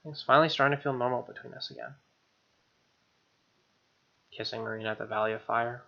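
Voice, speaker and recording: A man's voice: mid-range voice, steady tone, fairly quick, vocal fry, dull audio. A man reading a visual novel aloud as a gamer, mixing narration with informal, slangy asides. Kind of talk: dramatic reading with casual asides.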